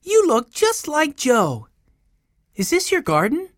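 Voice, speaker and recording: A woman voicing an eager, hungry, excitable little boy from a children's storybook. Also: high voice, slow, no echo, studio quality, pitched above the performer's own voice, heavily acted. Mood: chirpy, eager. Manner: excited exclamations.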